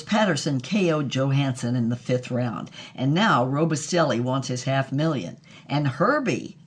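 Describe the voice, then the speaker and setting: A woman playing a brassy, wry former actress who tells her scandalous memoirs theatrically in an audio drama. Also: low voice. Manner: wry, theatrical storytelling with chuckles. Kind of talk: scripted audio drama narration.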